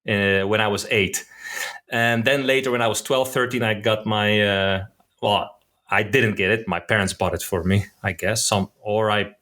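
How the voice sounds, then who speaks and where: A man's voice: fairly low pitch, fairly even intonation, normal speed, bright, forceful tone. A man from the Netherlands, a podcast guest giving his opinions in a thoughtful, casual way, often restarting words and saying "man". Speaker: podcast guest, concept designer.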